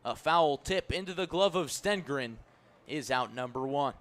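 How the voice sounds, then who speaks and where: A man with a somewhat high-pitched voice calls a baseball game live on the radio. His play-by-play comes in crisp, clipped phrases, as a sports announcer delivers it. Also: expressive, unhurried, fairly bright tone.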